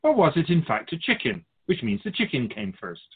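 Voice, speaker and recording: Radio drama spirit guide, acted by a man: wry, didactic sage, husky and lively in pitch, narrow telephone-like sound. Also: a little fast, exaggerated voice. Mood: wry, teasing. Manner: didactic, wry, formal phrasing. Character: Keeper of Souls, wise, wry otherworldly male spirit guide. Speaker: spirit teacher answering questions. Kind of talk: scripted radio drama dialogue, expository.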